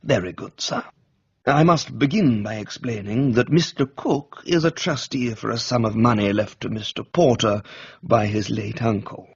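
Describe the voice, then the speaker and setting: A man voicing an unflappable, deferential English valet with formal, measured, refined speech in a dramatised book reading. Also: medium pitch, vocal fry, breathy edge, highs cut off. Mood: unruffled.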